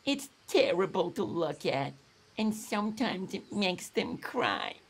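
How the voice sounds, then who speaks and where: Man doing a high, plaintive little lemur. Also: very animated, slightly rough, heavily acted. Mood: remorseful, sad. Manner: plaintive, moaning and exclaiming, drawn-out. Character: naughty, misunderstood male lemur, mopey and indignant.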